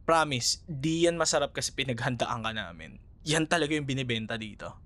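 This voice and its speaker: A friendly, teasing Filipino ex-boyfriend, played by a male voice actor in an intimate audio roleplay. His medium-pitched voice rises and falls a great deal. The delivery is casual and playful, with a conversational, slightly hesitant flow and a Filipino accent. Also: lively intonation, brisk, pushed and bright. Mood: friendly, inviting. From Philippines.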